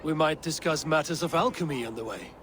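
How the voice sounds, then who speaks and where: Game warrior lord , male actor: proud, measured, formal, controlled, slightly high voice, noisy audio. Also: natural voice. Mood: composed. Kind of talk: video game voice acting.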